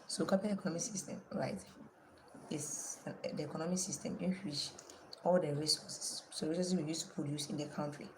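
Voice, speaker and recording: Woman teacher, deep voice, strained, lecturing a class online, noisy background. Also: fairly even intonation, fairly quick, a little mellow. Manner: asks the class questions and repeats key terms.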